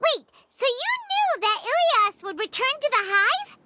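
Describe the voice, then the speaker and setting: Childlike fairy sidekick for a game: very high, squeaky, loyal, exclamatory, animated, under loud music. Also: female voice, unhurried, heavy background noise, full character voice. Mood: surprised, chatty. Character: small childlike fairy companion, excitable, loyal, outspoken. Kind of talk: video game voice acting.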